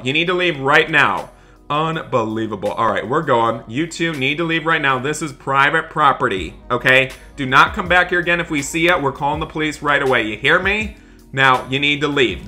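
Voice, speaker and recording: A man's voice: fairly high pitch, animated, normal speed, pushed and bright. A young man doing dramatic, emphatic roleplay commentary over a video game for his viewers, often repeating his exclamations. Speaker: gaming video creator. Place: indoors, voice-over on game footage. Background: music.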